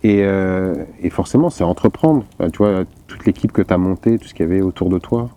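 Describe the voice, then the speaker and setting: Male podcast host, low dark voice, loose and hesitant. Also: fairly quick. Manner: relaxed, hesitant, lots of fillers. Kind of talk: casual podcast chat.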